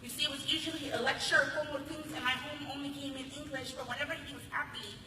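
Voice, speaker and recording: An American woman performing spoken word poetry live with expressive, emphatic delivery into a stage microphone. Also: sits high, quick pace. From Los Angeles, United States. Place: large hall at a conference ceremony. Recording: echoey hall, distant, faint noise.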